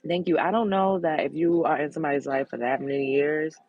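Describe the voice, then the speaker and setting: A woman with a deep, sultry voice chats casually on her livestream and answers comments bluntly. Her tone is gravelly with a husky edge. She talks in a candid, easygoing way. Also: fairly even intonation, brisk, dull audio. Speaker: host.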